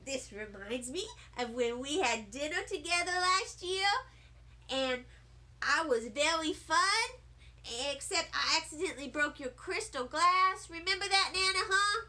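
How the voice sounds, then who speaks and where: A woman plays a cheeky first-grade girl in a children's storybook. The voice is high, very lively and bright. She talks in childish grammar with dramatic emphasis. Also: a little slow, heavily acted. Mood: chatty, eager. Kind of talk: children's audiobook read-aloud.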